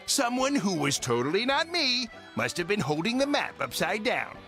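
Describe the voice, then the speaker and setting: A man's voice: high-pitched, big pitch swings, moderate pace, sharp tone, soft background music, full character voice. A man voicing a greedy, self-important pirate captain in a video game, with a mock pirate accent and blustering, theatrical manner. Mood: scheming, dismissive.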